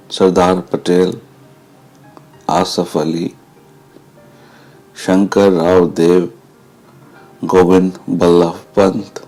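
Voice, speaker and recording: A man reading a memoir as dramatised narration, measured and deliberate, pausing between phrases. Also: low voice, gravelly, dark tone, low-quality recording.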